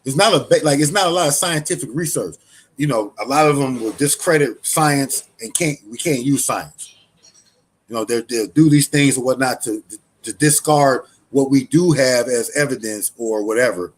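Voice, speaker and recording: A man's voice: mid-range voice, normal speed, husky, rough recording. A man giving loose, conversational commentary, full of restarts and fillers, as a show host. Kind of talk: casual spoken commentary.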